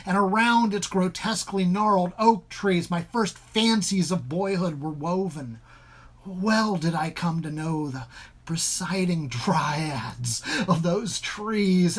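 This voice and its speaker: A man reading a story as a bookish, defensive gentleman confined for madness, in a formal, deliberate, ornate manner. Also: high voice. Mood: brooding. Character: confined gentleman dreamer, bookish, defensive, haunted first-person narrator.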